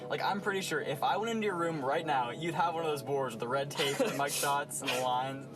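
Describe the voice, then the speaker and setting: A man's voice: on the high side, brisk, crowd noise, natural voice. A young actor playing an exasperated, nervous teenage boy bantering casually in a radio drama. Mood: nervous, mocking. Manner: irritated, slangy. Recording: noisy field recording with ambience.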